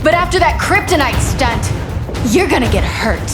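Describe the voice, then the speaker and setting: Very high-pitched, fierce young superheroine. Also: female voice, lively intonation, normal speed. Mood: defiant. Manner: defiant, emphatic. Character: fierce, earnest young alien superheroine, protective and defiant. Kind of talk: dramatic video game cutscene acting. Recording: echoey, loud music and battle din.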